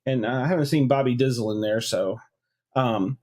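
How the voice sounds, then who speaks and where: A man's voice: medium pitch, normal speed, a little mellow. A man co-hosting a casual chat show, rambling about college basketball while getting over a chest cold. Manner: rambling, repeats filler words. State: congested from a cold. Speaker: co-host.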